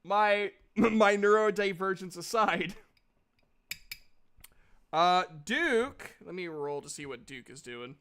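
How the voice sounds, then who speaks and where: A man's voice: sits high, lively intonation, slow, long pauses, fairly bright tone, slight background noise. A man in his thirties bantering casually as game master of a tabletop game, with a giggly, self-deprecating, teasing manner. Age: 30s.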